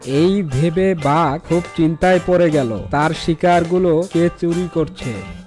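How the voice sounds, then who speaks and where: A man's voice: on the high side, measured pace, darkish tone, faint music behind. A man narrating a scripted fairy tale as a storyteller for a cartoon.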